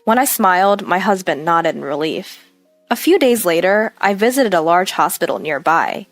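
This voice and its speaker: Lively woman narrator, very dry sound. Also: on the low side, moderate pace, dry recording, soft background music. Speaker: story narrator. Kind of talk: scripted first-person story narration.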